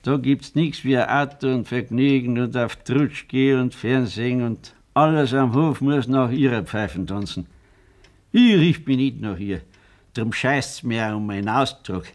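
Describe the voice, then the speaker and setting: A man's voice: medium pitch, unhurried, natural voice. An actor playing a stern, blunt old farmer father with a Bavarian accent in a German radio play. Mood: gruff, scolding. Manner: scolding, blunt, rustic.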